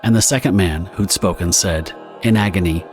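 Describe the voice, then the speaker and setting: A man narrating a scripted audio drama story in a measured, dramatic storytelling manner. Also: sits low, soft background music.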